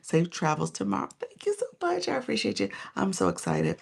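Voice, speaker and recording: A tired, hoarse woman coaching from her phone during a live stream. Her voice has a rough, gravelly edge and sits at an ordinary pitch. She chats casually and stops her points to greet viewers by name. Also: big pitch swings, fairly quick.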